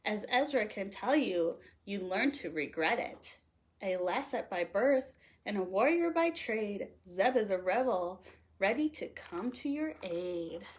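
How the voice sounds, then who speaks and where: A woman reading a children's picture book aloud in an animated, playful way, leaning on the rhymes. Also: mid-range voice, a little slow. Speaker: storytime host reading aloud.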